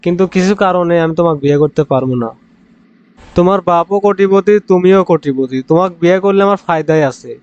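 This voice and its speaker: A man's voice: high-pitched, quick pace, a little mellow, clear, resonant tone, lightly acted. Male actor as devout young man in comic cartoon, earnest, dull sound over music. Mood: earnest, apologetic. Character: earnest, pious young man rejecting his ex-girlfriend. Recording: dull lo-fi audio, music underneath.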